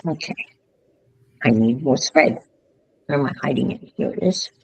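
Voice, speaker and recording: Woman, gravelly, hoarse from a cold, casual crafting chat, teasing. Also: extremely low pitch, expressive, normal speed, no echo. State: deep, rough voice from a cold.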